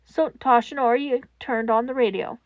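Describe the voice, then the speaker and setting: A woman narrating scripted fan fiction in a solemn, measured way with dramatic pauses. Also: on the high side, steady tone, no room sound, phone-quality sound.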